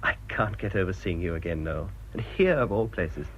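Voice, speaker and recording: An actor in a radio drama playing a courteous, well-informed man with a measured, precise manner. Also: sits low, expressive, slight background noise. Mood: friendly, informative. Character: courteous, friendly, well-informed man, old college friend. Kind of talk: British radio drama acting. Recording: dull radio recording with noticeable ambience.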